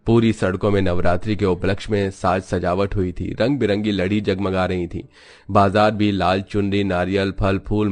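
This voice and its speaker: A man narrating a scripted moral story as its storyteller. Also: sits low.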